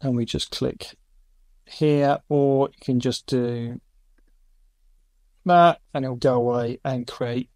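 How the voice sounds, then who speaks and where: A middle-aged man hosting a casual screen-recorded review, enthusiastic, with quick asides between demos. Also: mid-range voice, steady tone, darkish tone, no room sound, clean studio sound. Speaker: YouTube host reviewing AI voice tools.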